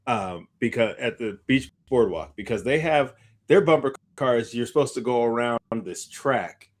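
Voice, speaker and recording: A man's voice: mid-range voice, expressive, normal speed. A man co-hosting a podcast, chatting casually in informal, slangy speech. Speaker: podcast co-host. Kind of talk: casual chat.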